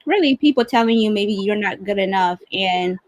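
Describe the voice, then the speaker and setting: A woman's voice: medium pitch, expressive, normal speed, no room sound. A woman hosting an informal livestream, speaking in an appreciative, encouraging manner over a video call. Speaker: host of livestreamed read-along.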